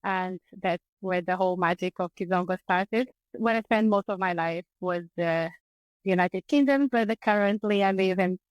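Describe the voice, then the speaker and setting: Angolan-born woman, middle-aged guest, smooth clear voice, dry close mic. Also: mid-range voice, moderate pace, dry recording, low-quality recording. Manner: warm, restarts phrases often, laughs easily. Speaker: guest, dance teacher. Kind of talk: casual podcast interview.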